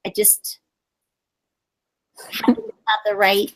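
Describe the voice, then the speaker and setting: A middle-aged woman puppeteer telling stories in a casual interview, bubbly and animated, acting out quotes in high voices. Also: slow pace.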